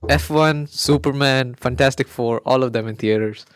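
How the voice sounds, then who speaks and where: Young male podcast co-host, Malayalam native, gushing and excitable, mid-range pitch, dry close sound. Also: moderate pace, clean tone, no echo. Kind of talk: casual chat.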